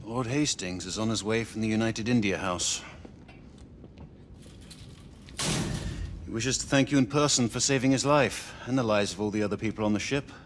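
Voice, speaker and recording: An actor playing a composed, high-ranking Victorian knight in a video game, giving counsel in a grave, formal voice. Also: male voice, fast talker, slight background noise, lightly acted. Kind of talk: cinematic video game acting.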